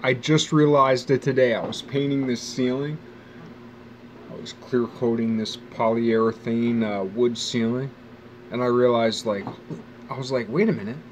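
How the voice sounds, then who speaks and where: A man's voice: mid-range voice, steady tone, normal speed, a little hiss or hum. A man in his forties rambling casually about his life in a wry, profane, musing way, speaking outdoors. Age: 40s. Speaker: vlogger. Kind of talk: rambling personal monologue. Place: outdoors near a bench.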